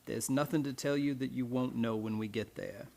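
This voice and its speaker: A man's voice: medium pitch, normal speed, gravelly, a little mellow, lightly acted. Man acting a cryptic, terse radio-play boatman. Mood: cryptic, matter-of-fact. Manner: evasive, matter-of-fact, terse. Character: cryptic, laconic male boatman rowing a young heiress.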